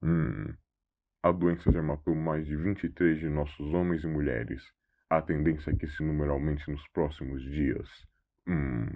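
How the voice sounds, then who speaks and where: A man's voice: extremely low pitch, fairly even intonation, measured pace, narrow, tinny audio, voice pushed down, full character voice. A man voicing a grave, cautious alien leader in an audio drama, ponderous, humming between phrases. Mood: grave, worried.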